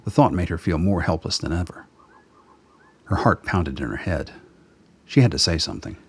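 Deep, husky male story narrator. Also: expressive, moderate pace. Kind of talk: scripted radio drama narration.

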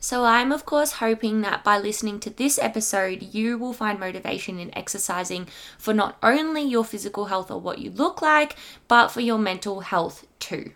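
A woman's voice: mid-range voice, lively intonation, normal speed, a bit bright. A woman with a slightly congested voice hosting an informative podcast, explaining things directly to listeners as a teacher would. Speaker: podcast host, secondary school health teacher.

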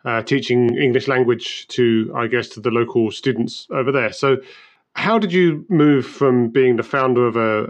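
A man hosting an interview, asking questions hesitantly and often repeating words as he starts. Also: mid-range voice. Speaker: interview host. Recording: dull sound.

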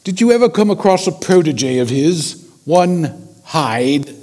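High-voiced, formal Victorian gentleman. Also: big pitch swings. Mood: probing. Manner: formal, measured, courteous. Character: aging Victorian gentleman, earnest, formal, concerned friend. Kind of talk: period audio drama acting.

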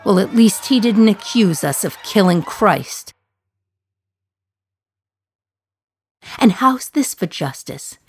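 A woman's voice: mid-range voice, animated, a little slow, a little mellow, slightly rough, some chatter behind. An actress playing a condemned woman prisoner in her thirties, talking to the listener in a wry, sardonic, confiding way in a radio play monologue.

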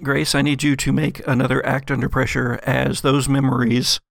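A man working as game master, narrating a gothic tabletop horror game in halting, deliberate phrases. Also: mid-range voice, level tone, gravelly, fairly bright tone, dry recording, clean studio sound.

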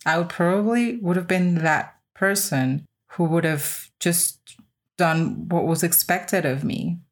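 Female podcast host, lower-register voice, reflective first-person delivery, emphatic with deliberate repetition. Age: 30s or older.

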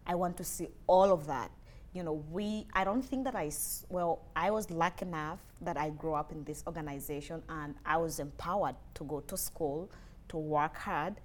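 A Ugandan woman in her twenties, speaking earnestly and softly as an interview guest. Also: low-pitched. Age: 20s. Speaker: interview guest, lab technologist. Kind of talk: casual interview conversation.

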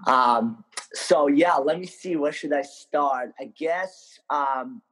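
A man from Ukraine with an Eastern European accent, telling his story casually as an interview guest, halting often and repeating words. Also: a little high, fairly bright tone, low-quality recording. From Ukraine, born in Moldova. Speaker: podcast guest.

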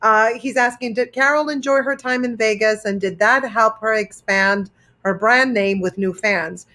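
A woman's voice: a little high, moderate pace, sharp tone. A woman hosting an interview, giving supportive reactions and reading out listener questions. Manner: supportive, short affirmations. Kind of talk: casual interview.

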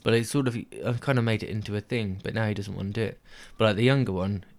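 A man's voice: fairly low pitch, quick pace, gravelly. Rambling British man chatting casually. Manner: rambling, jokey, trails off mid-sentence. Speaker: podcast co-host. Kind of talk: casual chat.

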